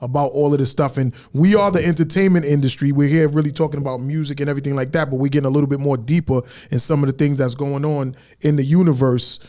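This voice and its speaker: A man's voice: a little high, fairly even intonation, fast, hardly any pauses, warm tone. Podcast host, man, raised voice, loose rambling delivery. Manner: loose, rambling, with repeated fillers. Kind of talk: casual podcast chat.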